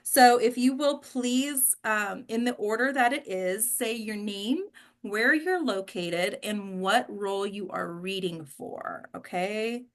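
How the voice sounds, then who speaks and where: A woman's voice: medium pitch, slow, a bit bright. A woman hosting and directing an online group session, giving casual instructions over a video call. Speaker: host and director of a table read. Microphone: video call microphone.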